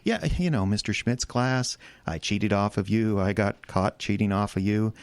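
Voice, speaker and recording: A man's voice: fairly low pitch, normal speed, no echo, lightly acted. An actor with an American accent playing a goofy, talkative small-town geek in his thirties, rambling and stammering eagerly in an audio drama. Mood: excited, surprised. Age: 30s.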